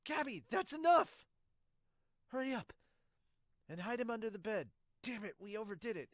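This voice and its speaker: Man putting on high, frantic manga kids. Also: sing-song, normal speed, dry recording, studio quality. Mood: panicked, distrustful. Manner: urgent, high, strained. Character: agitated, frightened children in a manga. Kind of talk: amateur manga dub reading.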